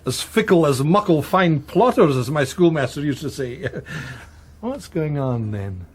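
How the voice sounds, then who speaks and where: Teasing, high-voiced Scottish newspaperman. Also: expressive, unhurried, slightly rough. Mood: arch, teasing. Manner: teasing, arch, needling. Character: sly, mocking Scottish political newspaperman. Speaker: political journalist. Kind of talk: radio drama acting. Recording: noisy background ambience.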